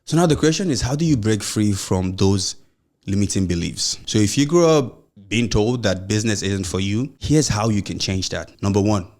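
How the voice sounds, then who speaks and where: An earnest young man from Nigeria talks straight to his podcast listeners, his Nigerian accent clear and his speaking voice sitting fairly low. A mild stammer comes through now and then, and his sentences run loose and encouraging, strung together with "you know" and "like.". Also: moderate pace, darkish tone. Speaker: podcast host. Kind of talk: solo motivational podcast talk.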